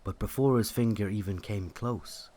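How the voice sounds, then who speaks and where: A man's voice: lowish voice, normal speed, mellow. A man giving scripted storytelling narration of a mythic legend.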